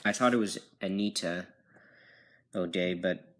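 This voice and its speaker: A man's voice: low voice, a little slow, fairly bright tone. A man giving a casual, rambling show-and-tell as a collector, hesitant and often correcting himself, recorded on a handheld phone. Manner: hesitant, unsure, self-correcting. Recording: noisy amateur phone video with clicks.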